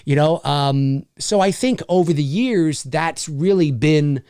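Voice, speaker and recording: An energetic man in his forties hosts a live-stream Q&A alone. He talks in a slightly high voice, rambling and restarting his sentences with plenty of fillers. The sound is dry and close to the mic. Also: takes it slowly, clean tone, no echo. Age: 40s. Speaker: host.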